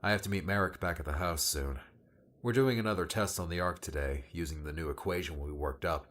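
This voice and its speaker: A man's voice: deep voice, a little fast, a bit bright. A man playing a smug, cheeky young man in an audiobook, with a casual teasing manner. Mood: casual, hurried. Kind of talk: dramatised audiobook character dialogue.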